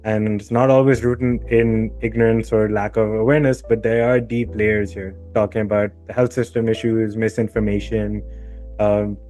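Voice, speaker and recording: A man's voice: on the low side, flat delivery, moderate pace, dark tone, soft background music. A man hosting a podcast, introducing the subject and putting questions in a conversational way. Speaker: podcast host.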